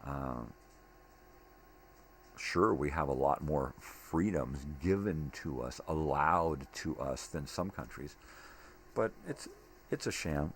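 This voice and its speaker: A man's voice: extremely low pitch, expressive, slow, pauses often. An older man rambling casually in a monologue, blunt, opinionated and given to swearing. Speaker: daily vlog host. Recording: clearly noisy home recording.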